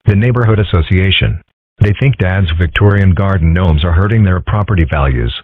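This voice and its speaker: A man voicing a calm, philosophical young male fox in a comic dub, husky, measured and deadpan. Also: low voice, no room sound. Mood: calm, explaining. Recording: muffled narrow-band lo-fi audio.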